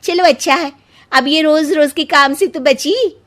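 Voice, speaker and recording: A young married daughter-in-law from an animated family drama, acted by a woman. Her voice is very high and piercingly bright. She is sassy and resentful, exclaiming and arguing with heavy sarcasm. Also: measured pace. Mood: relieved. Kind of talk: animated Hindi family story dubbing.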